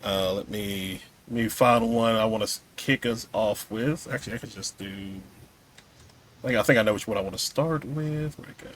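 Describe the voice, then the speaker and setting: A man's voice: fairly low pitch, moderate pace, slight background noise. A man hosting a casual podcast interview in an easygoing conversational style. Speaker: podcast host.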